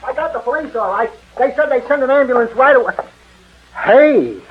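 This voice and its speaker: A man's voice: very high voice, lively intonation, noisy background. An American man playing a nervous, hesitant witness to a killing, in 1940s radio crime style. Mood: agitated. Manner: hesitant, halting. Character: nervous, hesitant male bystander, a murder witness at the police station. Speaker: radio actor. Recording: muffled old radio broadcast.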